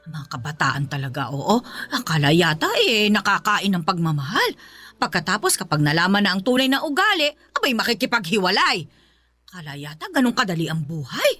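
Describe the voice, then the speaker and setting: A woman's voice: lowish voice, big pitch swings, pushed and bright, full character voice. A woman playing a middle-aged, indulgent but scolding Filipina mother-in-law in a radio drama, nagging and dismissive, with a Filipino accent. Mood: indignant. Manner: nagging, dismissive, drawn-out phrases.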